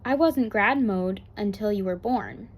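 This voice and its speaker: Fond grandmother read by a woman for a picture book, playful and gently teasing, mid-range voice. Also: medium pitch, unhurried.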